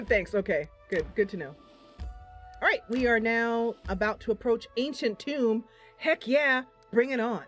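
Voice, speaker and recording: A woman's voice: fairly high pitch, animated, a little slow. Playful woman streaming a game over music. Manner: playful, giggly, chatty. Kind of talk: casual live-stream chat and role-play narration.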